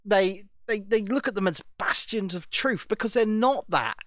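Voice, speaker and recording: A man's voice: high voice, normal speed, fairly bright tone, no echo, clean studio sound. A man co-hosting a casual podcast, speaking earnestly with frequent restarts. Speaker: podcast co-host. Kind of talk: casual chat.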